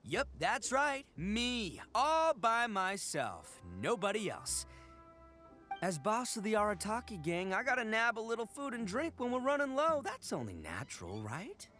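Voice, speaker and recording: A man's voice: high voice, huge pitch swings, unhurried, heavily acted. A rowdy, swaggering oni gang leader in a video game, his voice swooping wildly up and down as he blusters and laughs. He trips over his words when cornered but bounces right back. Faint game music plays behind him. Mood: boastful. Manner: loud, blustering, laughs a lot, stammers when caught out. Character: brash, boisterous male oni gang boss, big-hearted. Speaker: game character.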